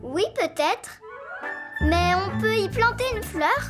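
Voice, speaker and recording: A woman's voice: very high-pitched, lively intonation, slow pace, over loud music, full character voice. A woman playing a bold, playful little girl in a children's cartoon, enthusiastic and sure of herself. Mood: playful, confident. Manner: enthusiastic, confident, teasing. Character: bold, playful, bossy young girl animal friend.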